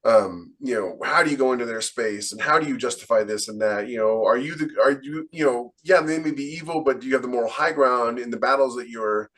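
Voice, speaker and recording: A man's voice: medium pitch, a little fast, few pauses. A man hosting a casual podcast discussion, opinionated and acting out the exchanges he retells. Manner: animated retelling, acts out quoted exchanges, opinionated. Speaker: podcast host and fiction author. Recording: distant from the microphone.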